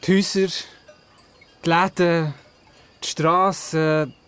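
A man's voice: fairly high pitch, fairly even intonation, takes it slowly, fairly bright tone, clear, resonant tone. A male performer playing a curious Swiss city boy who narrates an audio play, with a Swiss German accent. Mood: matter-of-fact. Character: curious city boy telling of his grandmother. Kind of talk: storytelling narration in a radio play.

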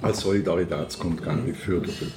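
A man's voice: deep voice, a little fast, background noise. An older man with a Swiss German accent, interviewing and reminiscing in casual conversation.

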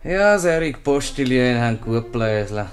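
A man's voice: medium pitch, lively intonation, unhurried, music in the background, close to an everyday voice. An actor with a Swiss German accent playing a bored, grumbling tower handyman in a 1950s radio comedy.

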